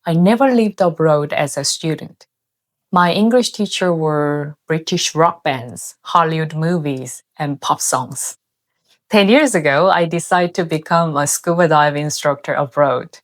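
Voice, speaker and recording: Korean-accented female host, 30s, lowish pitch, earnest scripted video-essay read. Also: a little slow. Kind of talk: scripted narration.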